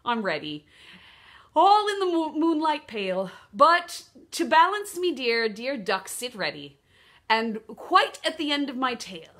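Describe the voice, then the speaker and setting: A woman voicing a cautious, fussy kangaroo in a children's poem, with a put-on Australian accent and deliberate delivery. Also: high-pitched, very animated, a bit bright, pitched above the performer's own voice, heavily acted. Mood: willing.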